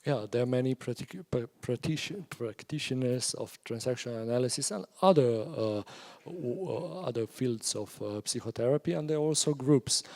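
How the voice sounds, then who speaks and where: A man presenting at a meetup, answering audience questions in a halting way with many fillers. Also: medium pitch, a little mellow. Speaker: presenter on stress and psychotherapy.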